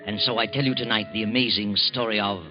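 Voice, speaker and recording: An actor playing a mysterious night-walking storyteller, narrating a 1940s radio drama in a slow, brooding way. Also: male voice, on the low side, steady tone, soft background music, lightly acted. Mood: ominous. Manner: slow, brooding, ominous delivery. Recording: dull old radio broadcast recording.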